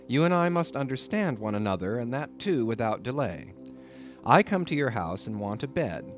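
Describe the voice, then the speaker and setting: Man acting an indignant, excitable lodger, very animated. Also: mid-range voice, moderate pace, soft background music, lightly acted. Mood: stern, exasperated. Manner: pompous, emphatic, formal phrasing. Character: indignant, wordy young male lodger, excitable. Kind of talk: audiobook character voice.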